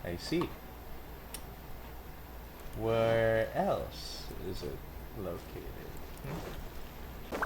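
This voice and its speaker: A woman's voice: rumbling low, big pitch swings, very slow, pauses often. A young woman giving casual gameplay commentary in short, laid-back murmured reactions. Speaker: gaming video host. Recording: clear background noise, game audio.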